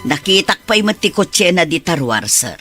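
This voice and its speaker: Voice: medium pitch, very animated, normal speed, loud music behind the voice, close to an everyday voice. A performer playing a sulky, complaining companion in a radio drama.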